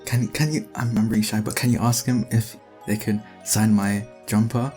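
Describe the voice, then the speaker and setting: A timid fan, played by a tabletop gamer, stammers hesitantly at a middle pitch. Faint music sits behind the shy voice. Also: fairly even intonation, moderate pace, a little mellow, close to an everyday voice. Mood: nervous, shy.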